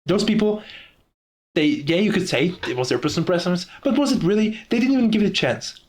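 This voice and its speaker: A man's voice: high-pitched, lively intonation, quick pace. A young man from the Czech Republic with a Czech accent, speaking English as a second language in a profane, ranting casual monologue. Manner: profane, ranting, laughs often. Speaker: YouTuber.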